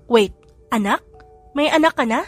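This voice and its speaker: A woman voicing an excitable, gossipy young woman friend who talks in gushing exclamations. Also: fairly high pitch, moderate pace, slightly rough, faint music behind. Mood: shocked.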